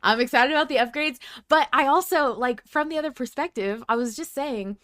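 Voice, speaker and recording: A woman co-hosting a casual fan discussion, talking in an animated, tangential way and swearing casually. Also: high-pitched, fairly quick. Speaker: podcast co-host.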